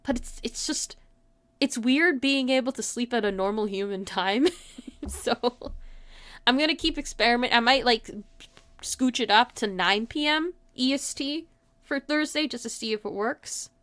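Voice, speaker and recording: A woman with a fairly high, very clear and smooth voice, hosting a livestream. She chats casually and informally, in a giggly way, with plenty of "like" in her sentences. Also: normal speed, a bit bright, dry recording. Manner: giggly, informal, frequent filler "like". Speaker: livestream host. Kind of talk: casual chat to livestream viewers.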